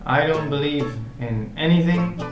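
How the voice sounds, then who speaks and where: A man's voice: a little high, unhurried. Dutch guy in his 20s chatting on a vlog, dutch accent, echoey, guitar playing behind. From Netherlands. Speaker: vlog host. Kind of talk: casual chat to camera. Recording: roomy echo, guitar music behind. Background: guitar music.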